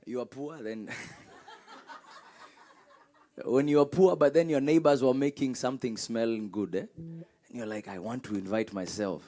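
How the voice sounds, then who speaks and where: A man's voice: medium pitch, a little mellow. A man hosting a church service, giving welcomes and announcements in an exhortatory way, repeating words and calling for responses. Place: church with congregation.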